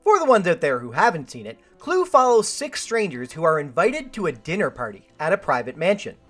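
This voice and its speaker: A woman's voice: fairly low pitch, sing-song, brisk, a bit bright, soft background music. A woman hosting a film review, reading a scripted video essay with wry, joking asides.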